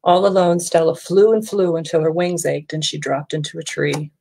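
Low-pitched woman reading a storybook. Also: fairly even intonation, normal speed, warm tone, breathy edge. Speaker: storybook reader. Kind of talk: expressive children's read-aloud. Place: small furnished room at home.

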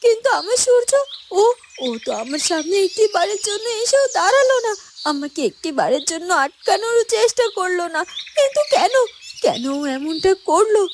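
A woman's voice: very high voice, expressive, brisk, a little hiss or hum. A woman voicing a heartbroken young wife lamenting being thrown out of her home, in a Bengali cartoon. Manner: tearful, lamenting. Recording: music under the voice.

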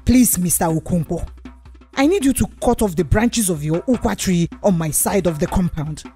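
A woman's voice: on the low side, moderate pace, warm tone, breathy edge, soft background music. A woman voicing a fed-up, insistent village man complaining in a folktale. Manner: exasperated, insistent.

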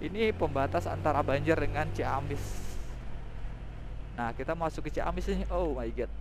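A man's voice: on the high side, normal speed. A man with a Sundanese accent casually narrating the road as he rides a motorbike, recorded on a bike-mounted action camera. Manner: chatty, pointing out sights along the road. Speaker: motovlogger. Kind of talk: casual narration while riding. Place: on a moving motorbike, outdoors. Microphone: helmet or bike-mounted action camera. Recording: wind and road noise.

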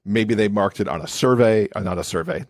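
A man's voice: lowish voice, a little fast, a little mellow, no echo, clean studio sound. A man speaking as an expert podcast guest, explaining things in a conversational interview style.

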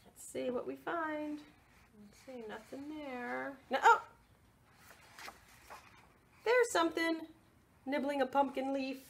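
A woman reading a picture book aloud for children's storytime, expressive and sing-song, prompting young listeners with questions. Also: high-pitched, slow, vocal fry, pauses often, slight background noise.

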